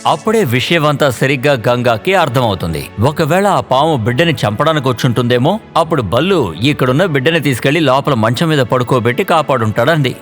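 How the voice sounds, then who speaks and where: A man narrating an animated children's story in an expressive storytelling manner. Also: medium pitch, a little fast, hardly any pauses. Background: faint background music.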